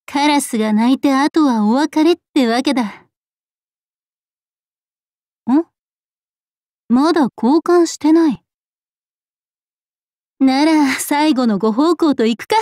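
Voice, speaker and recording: A woman voicing a laid-back, blunt tomboyish gunner in a game, with rough, boyish, offhand speech. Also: high-pitched, warm tone, no echo, clean studio sound. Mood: laid-back, weary. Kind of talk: Japanese game character voice acting.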